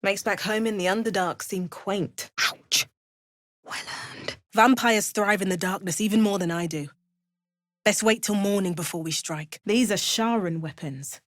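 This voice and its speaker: A woman's voice: mid-range voice, a little slow, no echo, lightly acted. An actress playing a wry, battle-hardened young female adventurer in a fantasy video game, delivering dry asides. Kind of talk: video game character voice lines.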